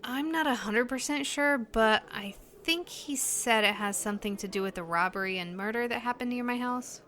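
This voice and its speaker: A woman's voice: a little high, moderate pace, bright, forceful tone. An actress playing an uneasy but determined young woman in an audio drama, speaking in a hesitant, earnest, questioning way. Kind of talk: naturalistic audio drama dialogue.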